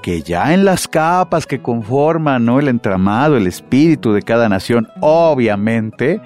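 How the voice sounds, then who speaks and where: A man with a Mexican accent hosting a radio interview, chatty and playful, cutting in with quick questions. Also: medium pitch, expressive, darkish tone, faint music behind. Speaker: radio show host.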